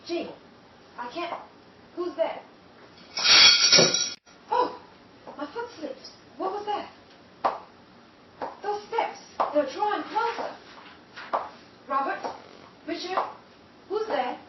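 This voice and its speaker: Woman acting a high, swooping, fearful heroine. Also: expressive, slow, pauses often, muffled recording, exaggerated voice. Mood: alarmed. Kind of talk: melodramatic suspense radio-play acting. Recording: echoey, distant, noisy room ambience.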